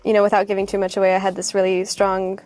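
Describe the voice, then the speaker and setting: A woman speaks casually as an actress in a promotional interview. Her medium-pitched voice is animated and slangy, with gushing storytelling. Music plays faintly underneath. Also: fast.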